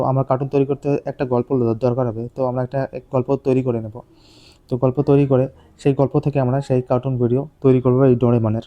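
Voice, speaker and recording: A man giving an informal step-by-step tutorial in a halting, repetitive way. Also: medium pitch, mellow, highs cut off. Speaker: tutorial host.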